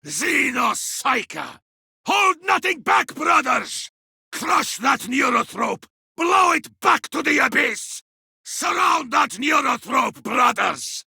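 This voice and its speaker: An actor playing a battle-hardened, zealous space marine with a gravelly, forceful voice in a video game. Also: male voice, high-pitched, big pitch swings, slow pace, no room sound, clean studio sound, exaggerated voice. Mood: fierce battle fury. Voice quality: gravelly, rasping. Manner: forceful barked battle cries. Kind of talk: video game combat voice lines.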